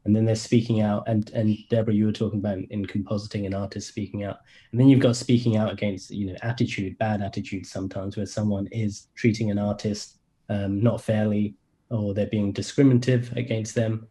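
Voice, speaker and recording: A man moderating a casual panel, thoughtfully gathering up and summing up what the others have said between frequent ums. His voice is fairly low and mellow and rather dark in tone. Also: little pitch movement, brisk. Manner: thoughtful, picks up and sums up points, frequent ums.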